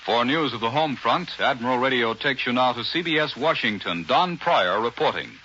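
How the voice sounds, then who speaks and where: A 1940s American male radio anchor with a medium-pitched, forceful voice. He announces formally and crisply. The sound is a dull old broadcast with light background noise. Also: animated, normal speed. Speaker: news anchor. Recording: dull, faintly noisy 1940s radio recording.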